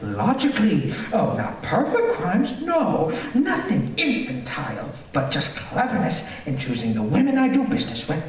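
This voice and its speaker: Gloating, wildly swooping killer villain. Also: male voice, sits high, huge pitch swings. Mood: gloating, gleeful. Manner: theatrical, gleeful cackling, drawn-out relishing delivery. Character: gloating, vain male serial killer showing off his lair. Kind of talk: old-time radio thriller acting, live stage performance. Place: theater, live-streamed stage performance. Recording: echoey theater stage, distant, faint noise.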